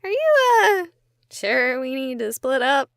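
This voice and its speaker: A woman's voice: sits high, animated, measured pace, vocal fry, a bit bright, clean tone, no room sound, clean studio sound, full character voice. An actress playing a sarcastic, chatty young woman streamer who talks to her viewers. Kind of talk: voiced game dialogue.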